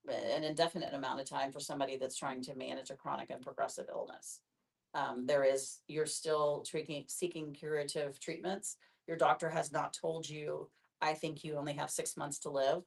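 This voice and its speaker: A woman explaining to a discussion group in a conversational, somewhat halting way. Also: sits low, gravelly. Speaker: palliative care professional.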